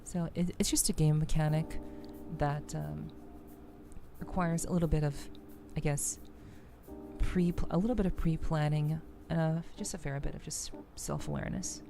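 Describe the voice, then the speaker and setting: A soft-spoken woman hosting a livestream, chatting casually in an ASMR style with hesitant, gentle game commentary. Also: low-pitched, fairly even intonation, moderate pace, creaky. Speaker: streamer, VTuber host. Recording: music playing behind the voice. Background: music.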